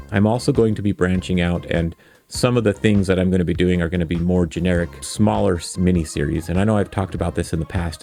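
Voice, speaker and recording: A man's voice: low voice, a little mellow. A man hosting a casual coding tutorial, thinking aloud in an informal, self-deprecating way. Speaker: tutorial host.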